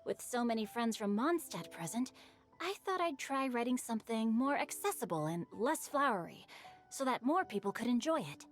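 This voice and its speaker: Refined, lively bookish boy. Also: a little high, normal speed, faint music behind. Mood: patient, teasing. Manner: polite, literary phrasing. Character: refined, good-natured bookish boy, gently teasing. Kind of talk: video game cutscene voice acting.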